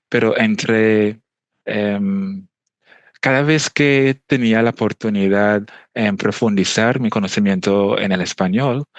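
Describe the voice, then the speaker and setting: A man's voice: fairly low pitch, no echo. A young man from Trinidad and Tobago, a native English speaker, answering interview questions thoughtfully with many hesitant fillers. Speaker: interview guest. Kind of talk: casual interview.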